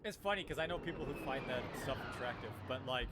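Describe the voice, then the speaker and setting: A man's voice: medium pitch, animated, fast, very noisy. A man from Canada chatting casually on a stream, rambling with many fillers. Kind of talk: casual chat. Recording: loud game music behind.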